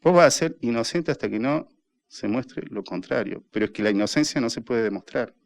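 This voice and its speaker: An Argentine man speaks on a radio discussion show, with a middle-pitched voice and a lecturing tone. He builds his point slowly, one step after another. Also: expressive. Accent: Argentine Spanish, voseo. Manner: lecturing, explains arguments step by step.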